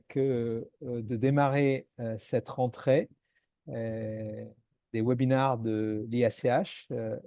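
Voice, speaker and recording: A man's voice: medium pitch, dark tone, no room sound, clean studio sound. A middle-aged man hosting and moderating a medical webinar, speaking with frequent hesitations and fillers. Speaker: host and moderator.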